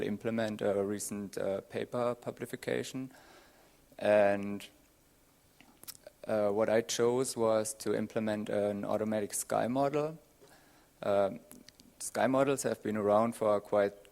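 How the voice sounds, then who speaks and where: A young man giving a conference presentation as a student, with a hesitant, halting delivery. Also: lowish voice, vocal fry, darkish tone. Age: young adult. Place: dim conference auditorium with audience, on a panel.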